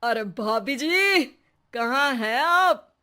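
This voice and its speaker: A woman's voice: high voice, animated, extremely slow, bright, forceful tone, full character voice. A woman voicing a flirtatious, fawning husband in a cartoon comedy, stammering and hesitant. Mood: eager, fawning. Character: flustered, flirtatious middle-class husband, a fawning man. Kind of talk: Hindi cartoon comedy acting.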